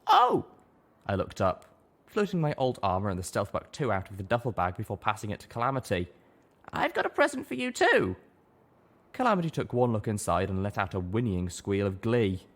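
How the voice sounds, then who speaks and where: Low-voiced man narrating, very lively. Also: quick pace. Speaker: audiobook narrator. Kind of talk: expressive scripted narration.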